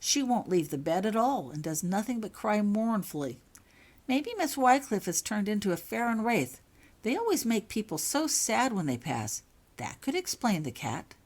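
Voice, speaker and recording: A woman's voice: medium pitch, sing-song, moderate pace, voice pushed up. A woman voicing a dreamy, eccentric woman professor of magic in a storybook reading, whimsical and earnest. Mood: earnest, wondering.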